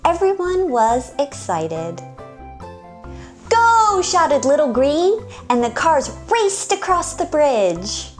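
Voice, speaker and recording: A woman hosting story time, reading aloud to young children in an expressive, deliberate storytelling manner. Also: on the high side.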